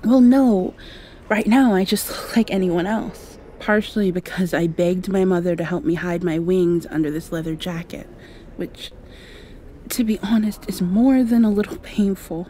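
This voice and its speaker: A woman's voice: on the low side, normal speed, slight background noise, close to an everyday voice. A woman playing a shy, insecure half-mosquito vampire girl in an ASMR roleplay, hesitant and confiding. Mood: anxious, confiding. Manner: hesitant, soft-spoken, confiding.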